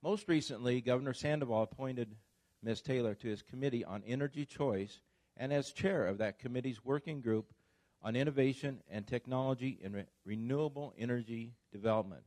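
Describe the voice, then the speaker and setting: Man, mid-pitched voice, formal introduction, dry sound. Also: a little fast, a little mellow, dry recording. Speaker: host introducing the speaker.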